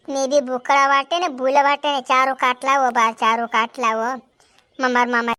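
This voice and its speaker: A performer voicing a rambling village man in a cartoon, speaking a Bhili dialect in a high, sped-up cartoon voice. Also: little pitch movement, measured pace, pushed and bright, exaggerated voice. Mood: chatty.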